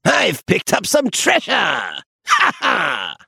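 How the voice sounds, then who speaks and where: A man's voice: sits high, wildly swooping pitch, takes it slowly, gravelly, bright, forceful tone, breathy edge, no echo, voice pushed up, exaggerated voice. A man voicing an eager, wishful poor wanderer in a fairy tale, given to exclaiming. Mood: overjoyed. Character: eager, lovestruck poor male wanderer, excitable dreamer.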